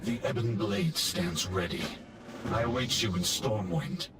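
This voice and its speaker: A man's voice: sits low, a little slow, recorded from a distance, background noise. A man playing a grim, solemn death knight champion in a fantasy video game. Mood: grave, urgent. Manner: solemn, portentous delivery.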